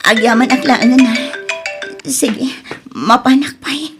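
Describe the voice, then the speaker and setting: An actress playing a soft-hearted young woman in love in an Ilocano radio drama, with an Ilocano accent, speaking emotionally and hesitantly in a breathy voice. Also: high-pitched, slow pace, music in the background. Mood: hesitant, shaken.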